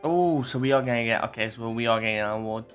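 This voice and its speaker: A man with a medium-pitched voice rambles casually as he plays a game. He muses aloud and reads out dialogue, with faint music in the background. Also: quick pace. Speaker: game streamer. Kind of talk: casual playthrough commentary, reading dialogue aloud.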